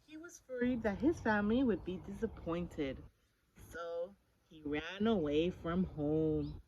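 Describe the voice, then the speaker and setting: A woman reading a picture book aloud to children in an expressive, emphatic storytelling style. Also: fairly low pitch, a little slow, crowd noise, poor audio. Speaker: story-time reader for children. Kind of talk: animated picture-book read-aloud with questions to listeners.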